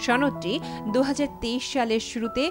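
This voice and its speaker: A woman's voice: on the high side, fairly even intonation, moderate pace. A woman with a Bangladeshi accent reading a scripted documentary narration over music. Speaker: programme narrator. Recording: clear music bed behind voice. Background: background music.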